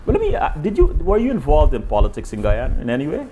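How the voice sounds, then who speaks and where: Older male host with a lively voice. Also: a little high, highs cut off, background noise. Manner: repeats words, halting questions. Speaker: interview host. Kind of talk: interview.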